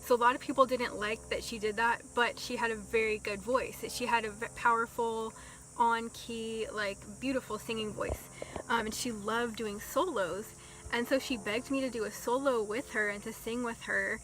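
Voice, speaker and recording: A young woman telling a casual storytime vlog, talking slowly and softly with many ums and likes. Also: on the high side, fairly even intonation, rough recording. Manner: slow, soft-spoken with many ums and likes. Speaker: vlogger.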